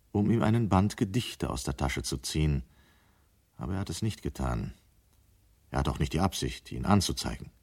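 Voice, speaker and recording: A man narrating a German radio drama as a grave, reflective storyteller, measured and dramatic. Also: sits low, a little mellow, slightly rough, heavily acted.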